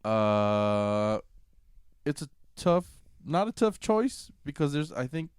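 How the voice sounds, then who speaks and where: Male co-host, slightly high voice, dry close recording, informal movie banter with the odd swear word. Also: big pitch swings, takes it slowly, clear, resonant tone, no room sound. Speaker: podcast co-host reviewing films.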